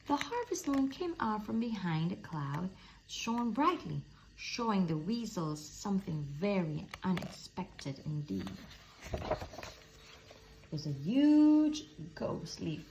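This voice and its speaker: A woman reading a children's story aloud in expressive scripted narration. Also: fairly low pitch, gravelly, a little hiss or hum, low-quality recording. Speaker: storybook reader.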